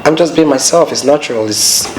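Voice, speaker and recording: A man's voice: medium pitch, brisk, a loud crowd. A young man with a Nigerian accent, speaking earnestly as an interview guest, with many "you know" fillers. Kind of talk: casual interview talk. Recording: echoey studio with background noise.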